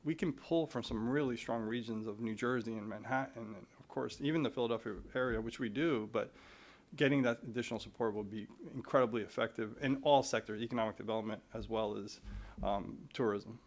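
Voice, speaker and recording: A man's voice: medium pitch, brisk. A man testifying at a legislative hearing about regional tourism, speaking conversationally and often restarting with repeated words.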